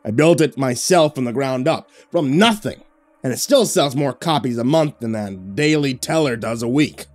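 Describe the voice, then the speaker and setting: A man's voice: medium pitch, lively intonation, moderate pace, darkish tone, lightly acted. A man voicing a smug older male newspaper boss who bullies and gloats. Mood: scolding. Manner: gloating, bullying.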